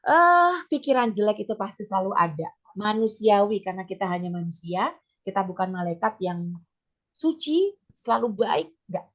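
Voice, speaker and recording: A middle-aged woman with a slightly hoarse voice, giving an informal teachers' workshop talk in a chatty, step-by-step way sprinkled with English terms. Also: mid-range voice, very animated, moderate pace. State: slightly hoarse, voice not back to normal. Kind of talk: informal training talk with personal examples.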